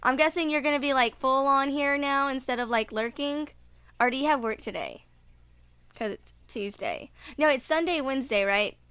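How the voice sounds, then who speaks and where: A woman's voice: sits high, moderate pace, a bit bright, no room sound. A woman in her twenties chatting casually while streaming a video game, rambling and hesitant as she goes. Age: 20s. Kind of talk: casual live gameplay chat.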